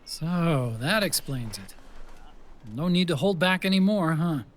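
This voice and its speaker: A man's voice: fairly high pitch, expressive, measured pace, a little hiss or hum. An actor playing a curious, wary male comrade in a military video game cutscene, plain-spoken and questioning. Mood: curious, easygoing.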